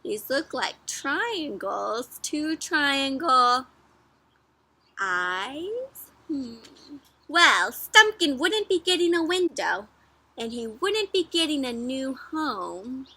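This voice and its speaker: A woman with a high, bright voice hosts a storybook read-aloud for young children. She speaks expressively, with animated emphasis and wondering questions. Her delivery is encouraging, like a teacher guiding small listeners through a book. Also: drawn-out words.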